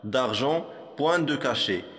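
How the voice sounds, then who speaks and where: Man, poetry recitation, slow pace, didactic, medium pitch, long echoing reverb. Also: animated, a bit bright. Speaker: poem reciter for a primary school lesson.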